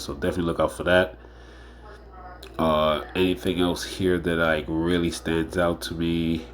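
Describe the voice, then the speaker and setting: A man hosting a casual solo fantasy sports preview. He sounds nasal and a bit under the weather, and he rambles with lots of fillers and repeated phrases. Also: low voice. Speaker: fantasy sports show host.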